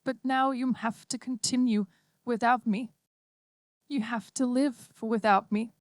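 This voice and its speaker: A woman voicing a six-year-old android girl who speaks gently and earnestly, as an audiobook reader puts her on. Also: a little high, steady tone, a little slow, dry recording, voice pushed up, natural voice. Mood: pleading. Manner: gentle, pleading.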